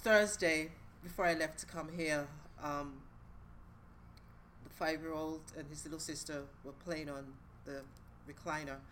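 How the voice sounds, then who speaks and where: A woman who looks after children, telling a lively story to a group and acting out the kids. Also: sits low, measured pace, slight background noise. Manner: lively, acts out children's voices. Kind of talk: casual storytelling.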